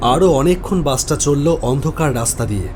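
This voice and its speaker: A man's voice: on the high side, animated, moderate pace, background noise. A man narrates a scripted first-person horror story.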